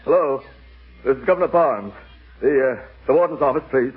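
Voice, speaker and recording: An actor playing a tormented, proud governor held captive, with a husky, strained voice in 1940s radio style. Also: male voice, medium pitch, normal speed. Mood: tense. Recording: thin, phone-like old radio recording.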